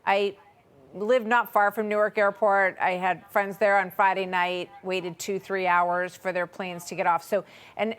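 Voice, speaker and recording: A woman's voice: mid-range voice, a bit bright. A woman hosting a broadcast news interview, speaking in an earnest, serious manner. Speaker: news host interviewing a guest.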